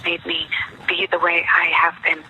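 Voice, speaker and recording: A woman's voice: on the low side, completely flat delivery, moderate pace, sharp tone. A woman leaving a rambling, repetitive voicemail, sounding aggrieved and accusatory, in a lo-fi recording played back. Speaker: voicemail caller. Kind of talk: rambling personal voicemail message. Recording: noisy lo-fi voicemail played over speakers.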